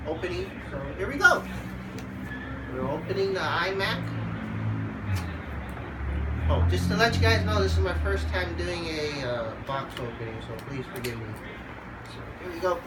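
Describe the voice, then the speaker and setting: A congested vlogger with a cold, unboxing a computer in a laid-back, halting way and talking to the dogs in between. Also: medium pitch, animated, heavy background noise. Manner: halting, lots of fillers, chats to the dogs. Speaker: channel host unboxing a computer. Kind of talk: casual unboxing vlog.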